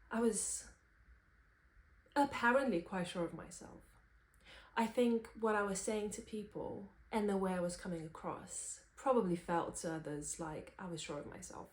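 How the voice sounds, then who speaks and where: A woman in her twenties from Romania, reflecting candidly and thoughtfully without a script, in halting phrases. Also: medium pitch. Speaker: vlogger, singer-songwriter. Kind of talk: unscripted personal reflection. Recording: roomy, echoey sound.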